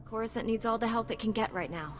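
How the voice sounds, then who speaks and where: A woman's voice: a little high, quick pace, close to an everyday voice. An actress playing a noble, composed female knight in a video game, formal and resolute. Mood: calm, principled. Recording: long reverb, clear background noise.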